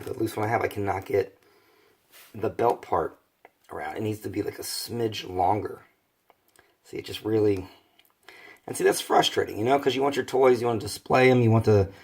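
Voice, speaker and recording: A hoarse man, rambling casually through a toy collector's unboxing video. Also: lowish voice, darkish tone. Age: 30s. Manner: rambling, many fillers and restarts. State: hoarse from bronchitis.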